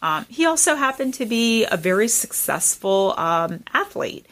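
A woman's voice: a little high, very animated, a little slow, fairly bright tone. A woman in her forties, a native Farsi speaker, talking earnestly and emphatically as a guest in an interview, with frequent "um" fillers. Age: 40s. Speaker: political candidate guest. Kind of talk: conversational interview.

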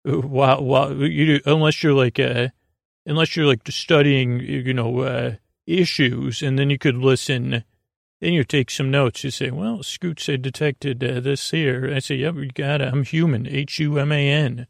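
Creaky, rambling man soothing listeners toward sleep. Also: medium pitch, fairly even intonation, moderate pace, no room sound, studio quality. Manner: meandering, hesitant, goofy, self-interrupting. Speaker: podcast host. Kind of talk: rambling, soothing bedtime monologue.

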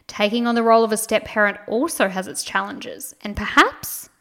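A woman's voice: medium pitch, brisk, a bit bright. Woman reading an earnest scripted intro. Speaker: podcast host.

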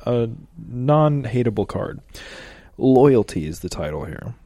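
A man's voice: mid-range voice, sing-song, unhurried, warm tone, no room sound. A man of about thirty co-hosting a casual podcast over drinks, laid-back and agreeable, laughing easily. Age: around 30. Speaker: podcast co-host.

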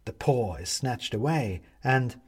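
A male audiobook narrator reads a scripted tale dramatically. His delivery is expressive and very varied in pitch, with a slightly husky edge to the voice. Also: slow pace.

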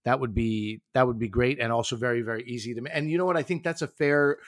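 A man bantering with his co-host in a light, teasing way. His pitch is average, and the recording is dry and close, with no room echo. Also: fast talker. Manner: playful, teasing, enthusiastic. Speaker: podcast co-host. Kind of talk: casual chat.

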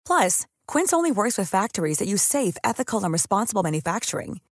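A woman announcer delivers a scripted ad with very lively intonation. Her pitch is average and the sound is extremely dry, with no room at all. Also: fast, dry recording. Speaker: advertising announcer. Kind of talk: scripted ad read.

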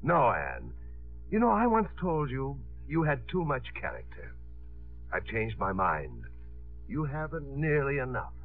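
An actor playing a passionate, sardonic artist suitor in a 1950s radio drama. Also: male voice, medium pitch, wildly swooping pitch, a little slow, faint music behind, exaggerated voice. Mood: bitter, sardonic. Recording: muffled, narrow-band 1950s radio broadcast.

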